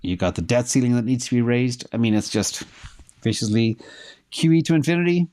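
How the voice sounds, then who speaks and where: A man's voice: medium pitch, animated, fairly quick, darkish tone. A middle-aged man who is losing his voice hosts a casual livestream, answering viewer questions and thinking aloud with fillers while he checks data.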